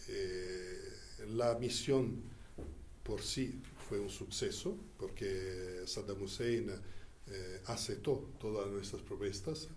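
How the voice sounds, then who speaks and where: A man who learned Spanish as a second language recounts his years as a Church diplomat in an interview, speaking deliberately with frequent pauses. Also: fairly low pitch, slight background noise. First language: not Spanish.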